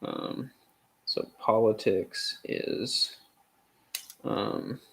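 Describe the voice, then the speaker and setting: A man's voice: on the low side, extremely slow, a bit bright, poor audio. A man reading a philosophical essay aloud as a streamer, with frequent hesitations and off-the-cuff asides.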